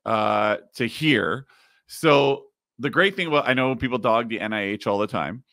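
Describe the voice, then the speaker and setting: Man, 30s, slightly raspy, podcast host, casual explaining, dry studio sound. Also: medium pitch, normal speed, a bit bright, no room sound. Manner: explains while screen-sharing, many fillers. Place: home studio with neon sign.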